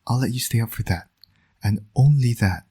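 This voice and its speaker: A man playing a flirtatious villain and doting father in romantic roleplay audio, speaking in a smug, teasing, intimate way with a breathy, often near-whispered voice. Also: mid-range voice, normal speed, dark tone. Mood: soft, smug.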